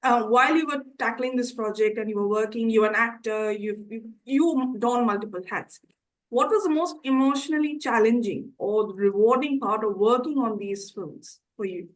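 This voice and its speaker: A woman with a croaky voice, hosting a formal community interview and introducing her guests politely. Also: a little high, moderate pace, low-quality recording. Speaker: interview host.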